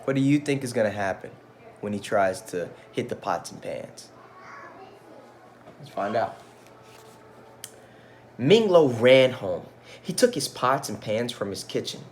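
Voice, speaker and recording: Animated male teacher reading aloud. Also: medium pitch, measured pace, pauses often, a little hiss or hum. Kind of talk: animated picture-book read-aloud with asides to children.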